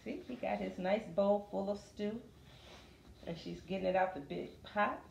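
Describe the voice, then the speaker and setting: A woman reading a children's story aloud expressively, pausing to put questions to her listeners. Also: medium pitch, gravelly, darkish tone, lo-fi sound, a little hiss or hum. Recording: roomy, echoey sound.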